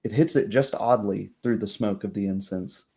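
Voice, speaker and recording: A man with a fairly low voice runs a tabletop fantasy game. He narrates scenes as game master, improvising as he goes. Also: moderate pace, dark tone.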